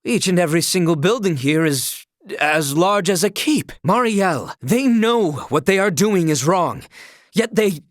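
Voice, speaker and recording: Expressive, courtly young knight. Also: male voice, high voice, unhurried, no echo, clean studio sound. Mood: urgent, resolute. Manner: formal, archaic courtly phrasing. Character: earnest, formal young knight, loyal and courtly. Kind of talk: video game voice acting.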